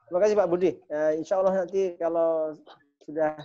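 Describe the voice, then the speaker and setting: A man's voice: fairly high pitch, fairly even intonation, fairly quick, dark tone. A man with an Indonesian accent moderating an online forum in a polite, casual way. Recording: dull, lo-fi online meeting audio.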